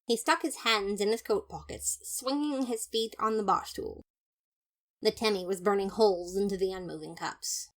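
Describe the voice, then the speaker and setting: A pompous, easily exasperated skeleton detective, played by a woman tells a story in the first person. The mid-pitched voice is theatrical and haughty, full of fastidious disapproval. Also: close to an everyday voice. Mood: dismayed.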